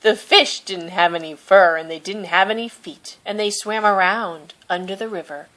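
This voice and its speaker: A woman reading a children's picture book aloud in a gentle, expressive storytelling manner. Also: mid-range voice, moderate pace, poor audio. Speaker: storybook reader.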